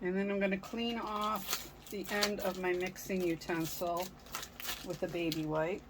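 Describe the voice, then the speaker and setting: A woman hosting a casual craft tutorial, speaking gently with frequent ums, her voice a little raspy from a sore throat. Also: fairly low pitch, flat delivery, unhurried, noisy background. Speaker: craft channel host.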